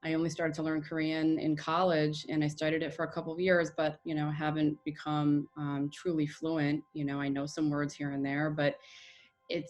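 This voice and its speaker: An American woman speaking in a soft, halting way with many fillers, reflecting in an interview. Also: deep voice. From United States.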